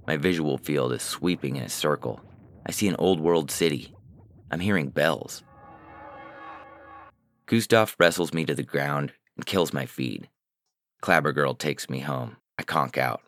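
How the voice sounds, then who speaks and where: A man playing a weary, paranoid hacker who narrates a science fiction audiobook in a terse, deadpan, sardonic manner. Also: a bass voice, unhurried, long pauses, heavily acted. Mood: dazed.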